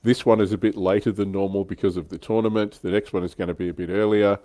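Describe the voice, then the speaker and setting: Casting: male livestream host, lowish pitch, conversational, dry close sound. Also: steady tone, quick pace, warm tone, dry recording. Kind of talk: casual livestream chat.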